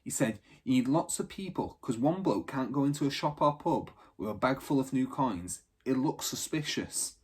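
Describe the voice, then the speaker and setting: A man plays an earnest young street lad in a children's adventure story. He sounds practical and matter-of-fact, chatty in his wording, with a husky tone. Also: mid-range voice, expressive, moderate pace, hardly any pauses. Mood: earnest reporting.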